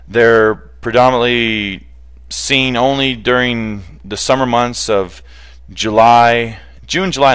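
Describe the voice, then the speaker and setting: A man's voice: mid-range voice, fairly bright tone, smooth. A man giving an informal, unscripted explanatory presentation in a conversational, plain-spoken way, as a hands-on specialist presenter. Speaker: bee removal specialist presenter.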